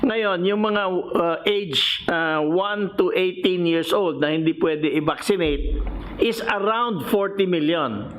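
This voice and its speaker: A man's voice: sits high, measured pace, a bit bright, rough recording. A man with a Filipino accent giving an explanatory official report as a government secretary, methodically going point by point. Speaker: finance secretary presenting.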